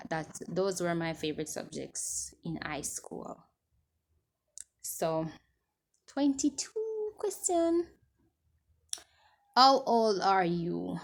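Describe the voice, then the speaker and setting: A woman's voice: lowish voice, big pitch swings, very slow, gravelly, pauses often. A woman in her twenties chatting casually to camera as she answers viewer questions, rambling and restarting as she loses her train of thought. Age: 20s.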